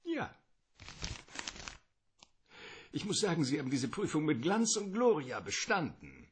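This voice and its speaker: A husky, courtly crime boss. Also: male voice, on the high side, huge pitch swings, moderate pace, full character voice. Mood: suavely bargaining. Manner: elaborate, courtly, theatrical politeness. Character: wordy, courteous, calculating middle-aged male crime boss. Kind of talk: radio play detective drama acting.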